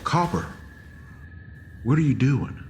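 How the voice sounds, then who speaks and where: Folksy leader, hugely animated pitch. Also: male voice, slow, noisy background. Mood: concerned. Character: Silver, gentle, folksy, patient man leading weary companions. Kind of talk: audio drama acting.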